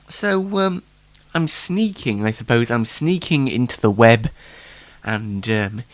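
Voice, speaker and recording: Congested man hosting, with animated, swooping pitch. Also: dry recording. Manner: self-deprecating, jokey, rambling. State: congested from hay fever. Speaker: talk show host. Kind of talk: casual solo late night talk show chat.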